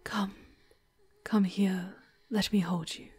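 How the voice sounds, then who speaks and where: A woman playing a tender, sorrowful female demon guide in a breathy, whispered ASMR roleplay, with dramatic pauses. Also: mid-range voice, fairly even intonation, lightly acted. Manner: slow, hushed, dramatic pauses.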